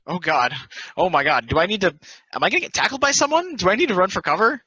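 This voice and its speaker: A man playing an excitable, astonished newcomer asking questions in an amateur table read. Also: high voice, fast, husky, no room sound, exaggerated voice. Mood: alarmed. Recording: dull lo-fi home microphone.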